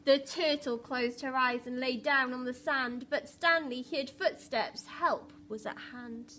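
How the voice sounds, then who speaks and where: A woman's voice: sits high, fairly even intonation, a little slow, sharp tone, slight background noise. A woman primary school teacher reading a picture book expressively to young children, with dramatic emphasis and questions to the listeners.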